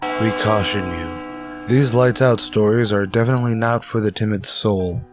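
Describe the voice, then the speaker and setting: An actor playing a solemn, ominous horror anthology announcer, delivering grave, deliberate retro radio narration. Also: male voice, fairly low pitch, close to an everyday voice. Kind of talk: old-time radio scripted narration.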